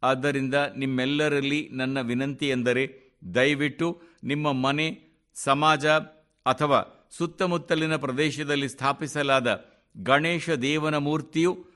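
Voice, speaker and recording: A man reads a formal scripted address for radio, in measured, deliberate phrases with clear pauses. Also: medium pitch, steady tone, fairly bright tone. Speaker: radio reader of a translated speech.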